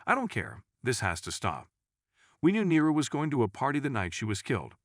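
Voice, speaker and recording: A man's voice: mid-range voice, wildly swooping pitch, fairly quick, dry recording, studio quality. A man voicing a determined, agitated male friend set on confrontation in a crime story.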